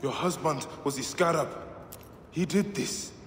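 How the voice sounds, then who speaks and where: An actor playing a grave, determined warrior avenger of ancient Egypt in a video game, speaking in a solemn, measured way. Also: male voice, a little high, slight background noise, lightly acted. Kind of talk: dramatic video game acting. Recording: echoing hall with music behind.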